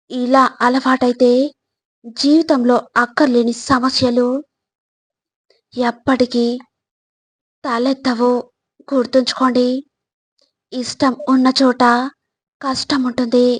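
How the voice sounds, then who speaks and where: A woman reading scripted motivational narration in a measured, instructive way, phrase by phrase. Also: on the high side, husky, dry recording.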